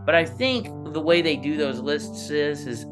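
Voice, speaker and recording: A man's voice: a little high, lively intonation, normal speed. A man speaking as an interview guest in casual, rambling conversation, often checking in with "you know". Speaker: interview guest, analog horror creator. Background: plucked guitar music.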